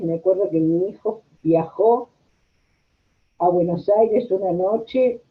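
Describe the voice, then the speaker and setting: An older woman with a Rioplatense Argentine accent, reminiscing conversationally as she is interviewed. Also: lowish voice. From La Plata, Argentina. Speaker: interviewed social worker. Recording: tinny, narrow phone-like audio.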